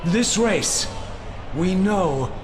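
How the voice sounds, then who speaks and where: Earnest, high-voiced alien envoy. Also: male voice, expressive, very slow, noisy background, full character voice. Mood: solemn, earnest. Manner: formal, declamatory oratory. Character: impassioned alien envoy and commander, male, earnest, solemn. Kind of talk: scripted video game cutscene acting.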